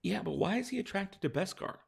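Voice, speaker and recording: A man with a hoarse, tired voice, casually reading out viewer questions and answering them on a late-night live stream. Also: high-pitched, animated, fairly quick.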